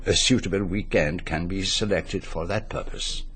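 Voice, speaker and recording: An actor playing a courtly, shrewd Belgian detective in a radio mystery, with a French accent and formal, deliberate phrasing. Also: male voice, low-pitched, steady tone, a bit bright, a little hiss or hum. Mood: measured, shrewd. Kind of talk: radio mystery drama acting with narration.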